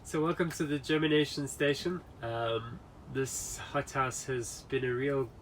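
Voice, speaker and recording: A man's voice: mid-range voice, a little slow, rough recording. Nasal man giving a garden tour. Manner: relaxed, rambling, many ums. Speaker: gardener vlogger. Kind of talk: casual garden tour walkthrough. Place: outdoor garden, then a polytunnel. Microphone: camera mic catching wind outdoors. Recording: wind noise on the mic outdoors.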